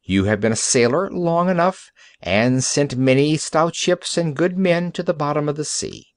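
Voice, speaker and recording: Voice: mid-range voice, normal speed, no echo, studio quality, pitched above the performer's own voice, lightly acted. A storyteller voicing a stern, kindly male doctor who lays down firm orders. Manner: firm, commanding.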